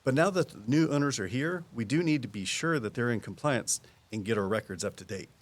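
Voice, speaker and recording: An actor plays a folksy small-town conservation man in an audio drama. His voice is medium in pitch, and he explains things patiently in an easygoing, down-to-earth way. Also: lively intonation, brisk, close to an everyday voice. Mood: matter-of-fact.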